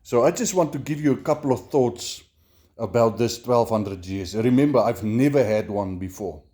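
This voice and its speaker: A middle-aged Namibian man with an Afrikaans accent, chatting casually in a self-made review, halting and repeating words with many fillers. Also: medium pitch, expressive, mellow. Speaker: motorcycle vlogger.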